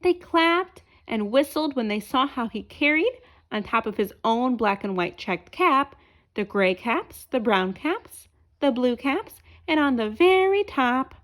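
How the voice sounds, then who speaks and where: Female storybook reader, high-pitched, highly varied intonation, expressive children's read-aloud narration. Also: unhurried. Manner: asks listeners questions as she reads.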